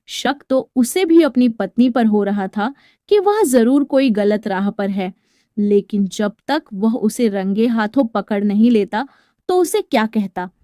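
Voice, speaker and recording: A woman's voice: a little high, warm tone, dry recording, studio quality. A woman storyteller reading a scripted narration.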